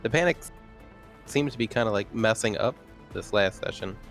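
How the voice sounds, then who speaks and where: A man's voice: fairly low pitch, lively intonation, normal speed, a bit bright. A man chatting casually as a player in a tabletop roleplaying game. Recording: music behind. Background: music.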